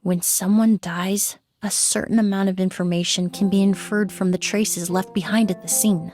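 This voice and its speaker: A composed, analytical female investigator from a video game voiced by an actress, at a middling pitch. Her delivery is measured and reflective, each thought articulated with care, with music playing behind. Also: fairly even intonation, a little mellow, no room sound, natural voice. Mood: calm, solemn. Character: composed, analytical woman, crime scene cleaner turned investigator.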